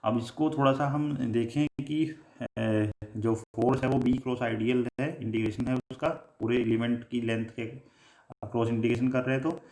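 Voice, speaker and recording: A man with an Indian accent giving a technical lecture, explaining in a halting, repetitive way and mixing in English terms. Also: mid-range voice, steady tone, a little mellow. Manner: halting, repetitive explaining with frequent filler phrases. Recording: echoey room.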